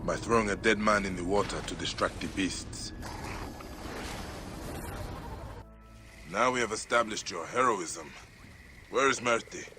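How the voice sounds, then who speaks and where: An actor playing a stern, determined warrior investigator in a video game, questioning people in a terse, no-nonsense way. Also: male voice, lowish voice, normal speed, noisy background, close to an everyday voice. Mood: impatient. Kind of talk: video game cutscene acting. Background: music.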